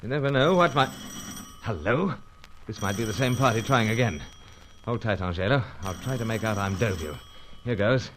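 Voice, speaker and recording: An actor playing a gentlemanly, dryly understated British secret agent, with clipped old-fashioned speech, in a radio adventure serial. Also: male voice, lowish voice, lively intonation, moderate pace, a little hiss or hum. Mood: dogged, wry.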